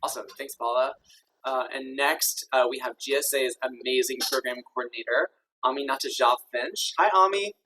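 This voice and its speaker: A man's voice: mid-range voice, normal speed, sharp tone, far from the mic, a little hiss or hum, rough recording. A man in his thirties from Kentucky, an executive director hosting a virtual info session in an upbeat, friendly way with many ums and uhs. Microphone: video call.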